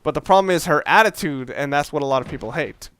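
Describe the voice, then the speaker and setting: A man's voice: fairly high pitch, fast, a bit bright. A young man giving casual, rambling gameplay commentary, swearing often and poking fun at himself. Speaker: let's play commentator.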